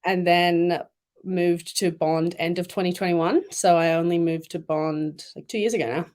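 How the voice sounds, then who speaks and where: A young woman from Thailand and Malaysia talks casually as a guest, her voice sitting fairly low for a woman. She rambles easily, with plenty of "like" and "um" between her thoughts. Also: normal speed. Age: young adult. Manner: chatty, relaxed, many fillers and "like"s. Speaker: guest swimmer. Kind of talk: casual interview chat.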